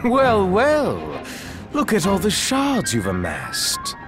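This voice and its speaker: A man's voice: high voice, wildly swooping pitch, slow, heavily acted. A man playing a sly sorcerer villain in a video game, taunting with theatrical mockery. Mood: mocking. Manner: mocking, theatrical. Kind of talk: video game character acting. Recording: loud music over the voice.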